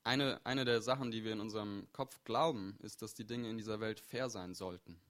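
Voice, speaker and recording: A man's voice: lowish voice, fairly even intonation, brisk. A man interpreting a sermon live, repeating each phrase right after the preacher, with small fillers and self-corrections. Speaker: church interpreter.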